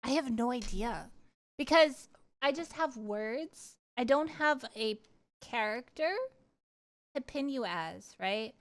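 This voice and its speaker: A woman livestreamer casually chatting with her viewers and telling personal stories in an animated, candid, giggly way, swearing freely. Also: on the high side, slow pace. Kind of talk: casual chat and personal storytelling.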